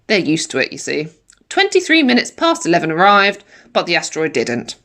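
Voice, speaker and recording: A woman reading a children's story in the first person, with animated, dramatic emphasis like a primary school teacher. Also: lowish voice, fairly quick. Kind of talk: expressive children's audiobook narration in first person.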